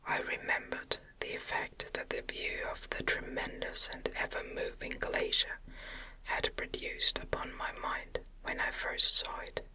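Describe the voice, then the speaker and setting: A woman whispering a novel as an ASMR audiobook narrator, gentle and deliberate in her phrasing, close to the microphone.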